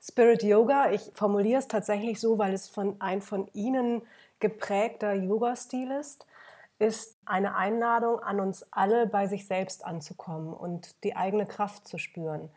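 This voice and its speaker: A woman's voice: mid-range voice, steady tone, a little slow, darkish tone, slightly rough. A woman hosting an interview podcast, speaking formally and reading a polished introduction. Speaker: podcast host.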